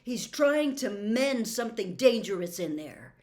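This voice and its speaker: A woman's voice: on the high side, very animated, a little slow, a little mellow, pitched above the performer's own voice. A woman voicing an angry, distrustful teenage schoolboy in a storybook reading, strained and accusing. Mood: urgent accusing. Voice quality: strained, shaking voice. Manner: heated, accusing, emphatic.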